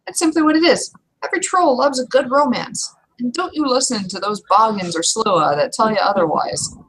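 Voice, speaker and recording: A woman's voice: on the high side, moderate pace, fairly bright tone, breathy edge, poor audio. A woman playing a seasoned, protective young troll in a live roleplay, talking bluntly and chattily with emphasis. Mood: chatty, explaining.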